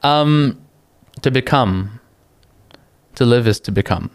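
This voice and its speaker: A young man from the Philippines, a guest talking in a relaxed, reflective way, pausing often and laughing easily. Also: mid-range voice, no room sound. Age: young adult. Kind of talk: casual interview chat.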